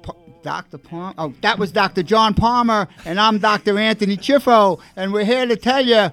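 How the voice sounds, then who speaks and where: A man's voice: high voice, normal speed, fairly bright tone, soft background music. Effusive man hosting religious radio. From Brooklyn, New York. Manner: effusive, gushing. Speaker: radio show co-host.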